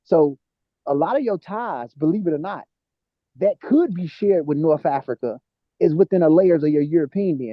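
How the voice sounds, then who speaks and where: A man's voice: fairly high pitch, dry recording, highs cut off. A man co-hosting a casual podcast talk, eagerly working through genetic ancestry terms with many restarts.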